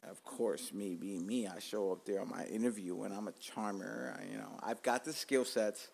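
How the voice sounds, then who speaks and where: A man's voice: on the low side, steady tone, normal speed, creaky, a little mellow, breathy edge. Male podcast host, 30s, born in Cuba; loose, profane, joking delivery. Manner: profane, joking, laid-back street talk. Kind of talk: casual podcast chat.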